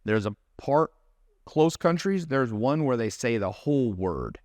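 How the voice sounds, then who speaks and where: A man in casual conversation, telling lively stories and mimicking accents and friends' phrasing. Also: mid-range voice, a little slow, no echo, studio quality. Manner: animated storyteller, mimics accents. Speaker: podcast guest, business mentor. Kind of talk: casual chat.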